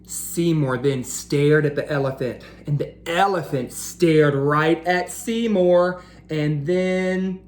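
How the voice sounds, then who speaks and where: A woman reading a picture book aloud to children as a teacher, in a deliberate, engaging storytelling style. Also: deep voice, darkish tone, roomy sound, slight background noise. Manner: deliberate, engaging, asks listeners questions. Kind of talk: animated read-aloud storytelling.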